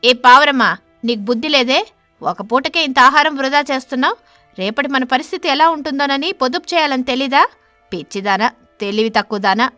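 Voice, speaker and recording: Cartoon stork husband played by a woman: stern, sharp-tongued, lecturing, high-pitched for a male, dry sound over music. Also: fairly quick, no echo. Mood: angry, panicked.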